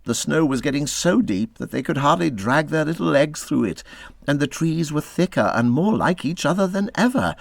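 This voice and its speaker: A man reading a classic children's story aloud as an expressive narrator, with measured, dramatic phrasing. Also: fairly high pitch, creaky, dry recording. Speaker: audiobook narrator.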